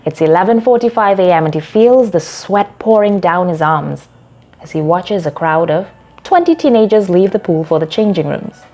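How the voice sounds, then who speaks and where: A woman storyteller reading scripted narration in a measured, deliberate way. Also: fairly low pitch, expressive, warm tone. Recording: music bed under the voice. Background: background music.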